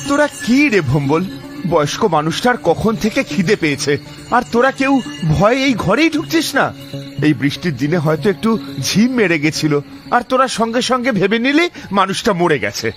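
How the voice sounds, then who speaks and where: A man's voice: high voice, big pitch swings, a little fast. A man voicing a rational, impatient schoolteacher who reasons emphatically in a cartoon. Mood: scolding. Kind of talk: cartoon voice acting. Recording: loud music behind the voice.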